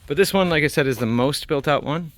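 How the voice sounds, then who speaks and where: A man's voice: fairly high pitch, fast talker, a little hiss or hum. Rambling young man, casual and profane. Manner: loose and rambling, many "like" fillers, swears casually. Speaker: vlogger touring a site. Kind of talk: casual walk-and-talk vlog. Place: outdoors at an abandoned film set, then inside an empty wooden building. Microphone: handheld camera.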